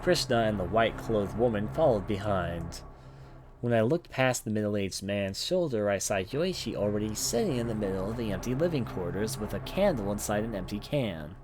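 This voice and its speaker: A man's voice: lowish voice, animated, normal speed. A man reading visual novel narration aloud for a let's play, dramatic and sometimes stumbling over words. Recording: background noise behind voice.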